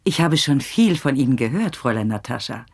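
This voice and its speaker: A woman's voice: rumbling low, animated, fairly quick, a little mellow, husky, heavily acted. An actress playing a sly, mannered society lady in a radio play, speaking in a knowing way. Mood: arch, sly.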